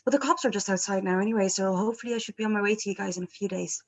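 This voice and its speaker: A woman's voice: mid-range voice, very fast, close to an everyday voice. A woman playing a cheerfully unbothered band member in a comedy table read, breezy and nonchalant. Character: cheerfully unbothered bandmate phoning from danger.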